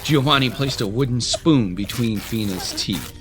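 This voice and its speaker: A man's voice: medium pitch, normal speed. A man narrating a scripted audio drama as a dramatic storyteller. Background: music.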